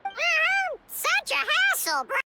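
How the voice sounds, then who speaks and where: Cacucu, a tiny excitable game dinosaur, chirps in a piercingly high, squeaky voice that leaps all over the place. The tone is bright and sharp, and he talks in slang like a buddy. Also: slow pace, heavily acted. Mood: lazy agreeing. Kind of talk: video game voice acting.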